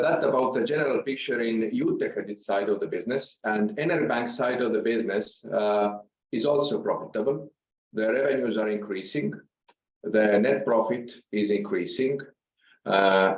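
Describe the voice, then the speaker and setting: Male executive, non-native English, low pitch, measured investor presentation, echoey room sound. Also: a little mellow. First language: not English. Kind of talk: business presentation on an earnings call. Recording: roomy, slightly dull conference-call sound.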